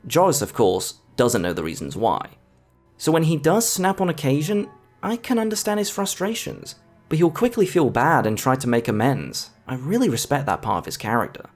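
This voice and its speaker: A man narrating a scripted video essay as a film reviewer. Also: medium pitch. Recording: music under the voice. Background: background music.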